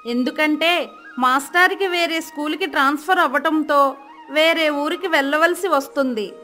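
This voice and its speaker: A woman reads a children's moral story as narrator. Her voice is high and bright, delivered as scripted storytelling with music behind it. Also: normal speed.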